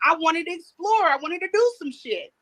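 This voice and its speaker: A woman's voice: very high voice, expressive, moderate pace, a bit bright. A woman in her forties bluntly and crudely defending her personal history in an interview clip. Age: 40s.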